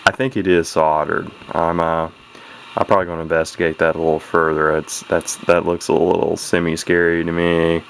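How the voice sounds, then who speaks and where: A very deep, gravelly male voice that hardly rises or falls, talking over a faint hiss. He rambles through his repair in a folksy, halting way, stopping and restarting sentences as he goes. It sounds like a man at his home bench speaking into the camera's mic. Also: flat delivery. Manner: plain-spoken folksy grammar. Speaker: radio hobbyist showing a repair. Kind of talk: casual off-the-cuff repair commentary.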